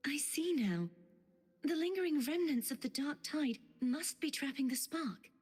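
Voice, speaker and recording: A woman playing a polite, earnest young maiden guide in a fantasy video game, speaking softly with courteous formality. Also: sits high, animated, a little slow. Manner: soft, breathy, courteous and formal. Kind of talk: scripted video game voice acting. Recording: music behind.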